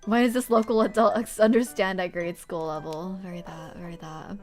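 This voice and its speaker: A woman's voice: medium pitch, steady tone, fairly quick, soft background music. A woman casually chatting to her livestream viewers while sorting notebooks, rambling and laughing often. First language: Korean. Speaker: streamer.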